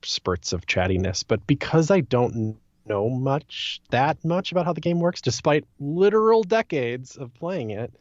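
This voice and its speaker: A man's voice: medium pitch, very animated, measured pace, few pauses, a little mellow. A man co-hosting a podcast in casual, conversational discussion with a self-deprecating manner. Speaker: podcast co-host. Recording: slightly dull lo-fi, roomy echo.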